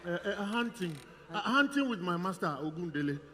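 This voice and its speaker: An actor with a West African accent playing a humble, nervous servant in a stage tragedy, halting and stammering as he pleads. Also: sits high, sing-song, pushed and bright, full character voice. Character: nervous, humble male villager, a hunter's servant under questioning. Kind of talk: projected stage tragedy acting. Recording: echoing theatre hall.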